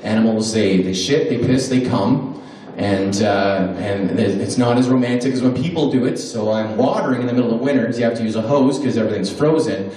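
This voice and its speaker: A man's voice: medium pitch, fairly quick, warm tone, a little hiss or hum. A middle-aged man works a small club stage with a handheld mic, telling crude jokes in a loose, chatty way. His voice bounces around the room. It is picked up from well back in the club. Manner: loose, conversational delivery with crude punchlines. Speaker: stand-up comedian. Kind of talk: stand-up comedy set. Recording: echoey room recorded from a distance.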